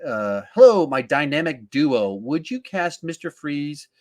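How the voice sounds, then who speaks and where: A man's voice: on the high side, big pitch swings, unhurried, a little mellow. Man hosting a casual film podcast. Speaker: podcast host. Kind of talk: casual live film discussion, reading viewer questions.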